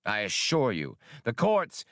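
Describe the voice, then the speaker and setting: A man's voice: medium pitch, huge pitch swings, a little slow, fairly bright tone, dry recording, studio quality. A man voicing an indignant, dignified alien in an audiobook, with a pompous, legalistic manner. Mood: outraged. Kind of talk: audiobook character acting.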